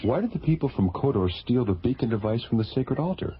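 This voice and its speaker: An actor playing a level-headed, plain-spoken space adventurer in a radio drama. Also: male voice, mid-range voice, sing-song, quick pace, close to an everyday voice. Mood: level, decisive. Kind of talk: old-time radio sci-fi acting. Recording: dull radio drama with loud music.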